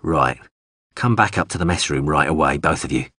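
A commanding male starship captain in a science fiction audiobook, played by a male reader. The voice is low and husky. The delivery is terse and clipped, like someone in charge under pressure. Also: expressive, normal speed, sharp tone, no echo, clean studio sound, heavily acted. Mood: curt.

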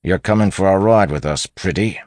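A man's voice: deep voice, normal speed, a little mellow, no echo, studio quality, pitched above the performer's own voice, close to an everyday voice. A man voicing a menacing, mocking half-monster bandit thug in an audiobook, bullying and taunting. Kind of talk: audiobook character acting.

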